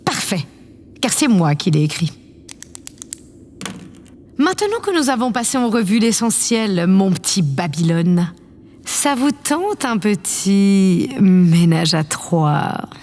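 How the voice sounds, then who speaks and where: A woman's voice: mid-range voice, very animated, slow, a little mellow. A woman playing a vain, imperious yet affectionate president of a space colony in an audio drama, with a pompous, condescending manner. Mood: smug, playful. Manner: pompous, condescending, theatrically self-satisfied. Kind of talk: scripted audio drama acting. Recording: long reverb, clear background noise.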